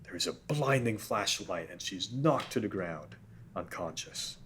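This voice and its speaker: A man's voice: lowish voice, animated, unhurried, fairly bright tone, slight background noise. A man narrating stage directions at a casual table read, reading steadily.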